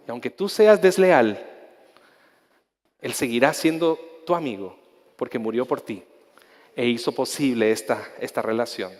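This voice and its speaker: Impassioned Chilean pastor in a reverberant hall. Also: male voice, on the high side. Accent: Chilean Spanish. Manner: impassioned preaching with repetitions and restarts; soft, intimate prayer. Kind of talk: sermon ending in prayer.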